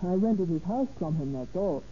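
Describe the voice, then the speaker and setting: A woman's voice: lowish voice, animated, normal speed, mellow, natural voice. An actress playing a cool, evasive female murder suspect who speaks in a formal, guarded manner in old-time radio crime drama style. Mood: guarded, polite. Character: cool, evasive murder suspect, polite smooth-talking woman. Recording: muffled, noisy old radio recording.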